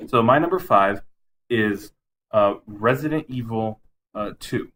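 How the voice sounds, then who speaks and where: A man's voice: fairly low pitch, a little slow, a bit bright, a little hiss or hum. A man co-hosting a podcast in casual chat about movies, often chuckling as he talks. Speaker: podcast co-host.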